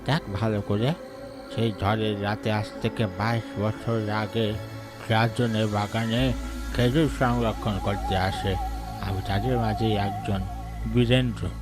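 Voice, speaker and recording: A man playing the aggrieved, ominous ghost of a village man, speaking with slow, drawn-out menace in a horror cartoon. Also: fairly low pitch, fairly even intonation. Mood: ominous, solemn. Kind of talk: animated horror story dialogue. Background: music.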